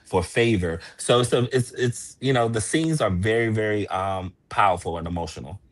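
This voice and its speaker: A man's voice: fairly low pitch, moderate pace, no echo. An American man speaking earnestly and emphatically as an interview guest, in casual promotional talk. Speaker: interview guest from a stage production.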